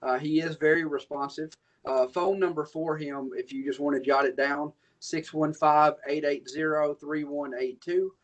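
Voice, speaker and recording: A man's voice: on the high side, steady tone, normal speed, few pauses. A man, a police commander, speaking upbeat at a virtual community meeting. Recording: muffled video call audio.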